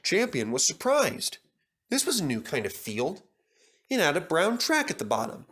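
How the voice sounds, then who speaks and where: A man narrating a scripted children's story as an expressive storyteller. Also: on the high side, normal speed, slightly rough.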